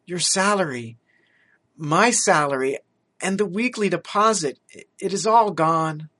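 A man doing a polite, stammering young gentleman. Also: sits high, a little slow, pitched above the performer's own voice, exaggerated voice. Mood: apologetic. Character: earnest, apologetic young gentleman, the son.

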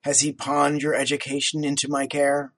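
A man voicing a raspy, growling old miser. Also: medium pitch, completely flat delivery, fairly bright tone, lightly acted. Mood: stern. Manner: stern, curt, slow. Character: stern, miserly older businessman, gruff.

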